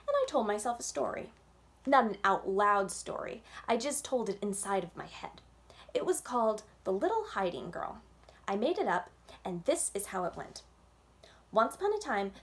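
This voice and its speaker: A woman reading a children's book aloud as a storyteller, with expressive, childlike emphasis in a first-person narration. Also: mid-range voice, gravelly.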